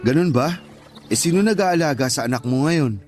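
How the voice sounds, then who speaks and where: An actor playing a gentle, flattering and sympathetic male friend with a Filipino accent, in conversational radio drama style. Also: a little high, moderate pace, natural voice. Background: background music.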